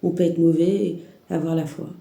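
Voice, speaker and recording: A woman with a stuffy head cold and a sore throat talks through a tarot reading in a low-energy, dark, gravelly voice that barely rises or falls. She restarts her phrases and hunts for words as she speaks directly to the listener. The voice bounces around an echoey room. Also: fairly even intonation, fairly quick. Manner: hesitant, rambling, many restarts and fillers. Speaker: tarot reader. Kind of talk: spiritual guidance monologue to the viewer.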